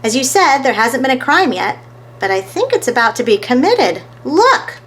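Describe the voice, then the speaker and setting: A woman voicing a sharp, curious young girl detective in a children's storybook. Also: sits high, very animated, moderate pace, voice pushed up. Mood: suspicious.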